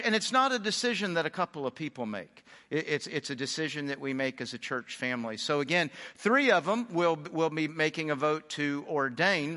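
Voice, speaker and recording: A man's voice: on the high side, pushed and bright. A man serving as senior pastor, giving church announcements in an enthusiastic, encouraging way. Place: large church sanctuary. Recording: long hall reverb.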